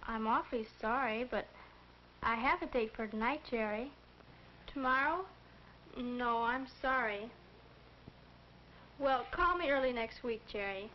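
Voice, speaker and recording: A woman's voice: on the high side, a little slow, pauses often, lightly acted. A young woman playing a polite, friendly, sensible teenage girl in a 1940s instructional film. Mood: polite, hesitant. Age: young adult. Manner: neat, courteous. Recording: muffled, noisy 1940s film soundtrack.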